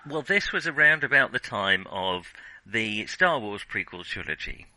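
Male film podcaster, very bright crisp-edged tone, dry sound, conversational and halting, thinks aloud. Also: fairly low pitch, big pitch swings, no room sound, poor audio. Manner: hesitant, self-correcting, searching for words. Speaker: podcast co-host. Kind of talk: casual film discussion.

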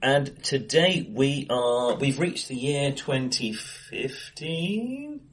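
A man's voice: mid-range voice, very animated, drawn-out words. A man co-hosting a casual film discussion, talking face to face with someone in a live-sounding room. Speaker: podcast co-host. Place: indoor room, recorded face to face. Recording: acoustically bright live room.

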